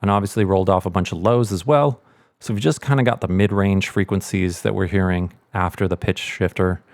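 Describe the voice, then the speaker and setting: Male sound designer, low register, informal walkthrough style, thinking aloud on a dry, close mic. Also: a little fast, gravelly, a little mellow, dry recording. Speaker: sound designer giving a tutorial. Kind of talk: casual screen tutorial.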